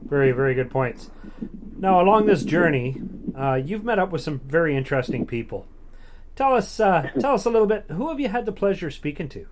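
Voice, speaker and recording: A man's voice: fairly high pitch, very animated, brisk, noisy background. A man hosting a casual, conversational interview as the interviewer.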